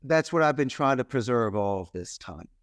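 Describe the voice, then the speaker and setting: A man's voice: medium pitch, lively intonation, normal speed, dry recording, clean studio sound. A man in his fifties with a Philadelphia accent, telling his story as a guest in an emphatic, speech-like way. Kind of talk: interview storytelling.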